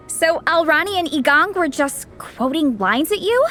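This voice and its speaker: A woman's voice: extremely high pitch, very animated, takes it slowly, a bit bright, a little hiss or hum, full character voice. A woman voicing an upbeat, friendly young woman in a video game, bubbly and effusive. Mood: delighted. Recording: background music under dialogue.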